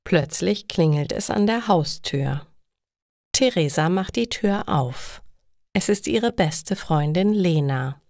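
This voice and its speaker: Slow-reading female storyteller, dry recording. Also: on the low side, lively intonation, darkish tone, no room sound. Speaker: narrator of language-learning stories. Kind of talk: slow, clearly read scripted story narration.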